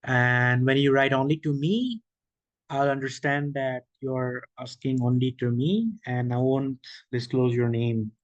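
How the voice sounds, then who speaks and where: A man giving an online machine learning workshop, explaining slowly with frequent okays, restarts and questions to the audience. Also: medium pitch, steady tone, no echo, highs cut off. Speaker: workshop presenter. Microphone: video call.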